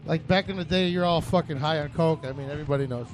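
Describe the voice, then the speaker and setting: A man's voice: on the high side, soft background music. A man hosting a casual online talk radio show, telling rambling, crude stories. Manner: rapid, rambling storytelling with crude jokes. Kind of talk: casual chat.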